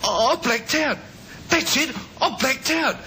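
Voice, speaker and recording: A man's voice: unhurried, pushed and bright, exaggerated voice. A man playing a cornered small-time crook in a 1950s radio drama, slangy and agitated. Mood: anxious, confessing. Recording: old radio recording with noise, rumble.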